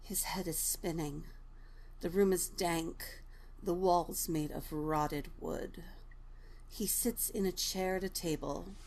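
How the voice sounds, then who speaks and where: A woman's voice: on the low side, fairly even intonation, takes it slowly, darkish tone, poor audio. A woman reading stage directions as scripted narration for a dramatic table read. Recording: music playing behind the voice.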